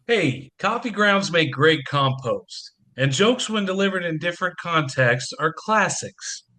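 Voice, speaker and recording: A man's voice: fairly high pitch, animated, a little slow, bright, forceful tone. A man acting in an audio play as a harried, wry, affectionate businessman father with dry sarcasm.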